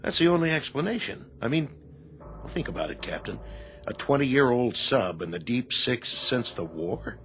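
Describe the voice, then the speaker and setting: A man's voice: medium pitch, big pitch swings, moderate pace, fairly bright tone, slight background noise. A man playing a sensible, skeptical Navy officer in a radio drama, earnest and reasoning.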